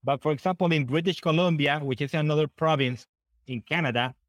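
A man's voice: a little high, fairly quick, no room sound. A middle-aged man from Honduras with a Honduran Spanish accent, chatting as an interview guest and often repeating words as he searches for phrasing. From northern coast of Honduras. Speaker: podcast guest. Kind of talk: casual interview conversation.

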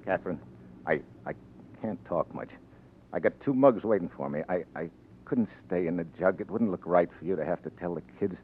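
An actor with an American accent playing a tough but sentimental crooked politician turned barkeep, in 1940s radio drama style with slangy talk. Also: male voice, low voice, lively intonation. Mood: tender, regretful. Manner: slangy, gruff when crossed, halting when tender. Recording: tinny 1940s radio broadcast, faint music.

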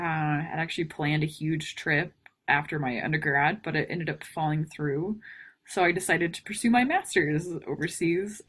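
Husky-voiced Texan woman in her twenties. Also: low-pitched, fairly bright tone. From Texas. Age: 20s. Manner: giggly, chatty. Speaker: podcast co-host. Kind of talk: casual chat.

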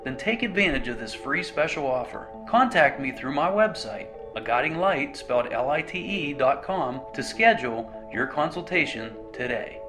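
A man's voice: medium pitch, animated, moderate pace, hardly any pauses. A man reading a scripted radio advert for his own services. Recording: voice over prominent music bed. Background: music.

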